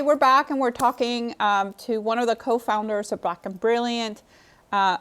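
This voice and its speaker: A woman with a smooth, resonant voice hosts a studio talk show. Her pitch is average, and she talks earnestly, in long, affirming sentences. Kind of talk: studio interview.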